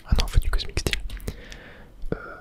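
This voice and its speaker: A host whispering casual ASMR commentary over a video game, hesitantly thinking aloud. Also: a little slow.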